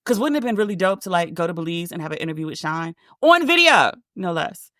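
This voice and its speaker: A woman with a deep voice hosting a casual solo podcast, telling a story and acting out the dialogue, with "like" all through her speech. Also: expressive, fast talker, fairly bright tone, dry recording, clean studio sound. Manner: chatty, heavy use of "like", acts out quoted dialogue. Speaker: podcast host.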